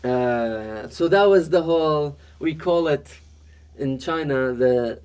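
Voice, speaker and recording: A man from Iraq, a podcast guest chatting casually, talking with many fillers and restarts and growing animated as he tells stories. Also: fairly high pitch, takes it slowly, clean tone. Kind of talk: casual interview chat.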